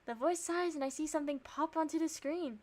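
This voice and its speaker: A woman streamer reading visual novel narration and dialogue aloud, with sarcastic, expressive reactions. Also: high-pitched.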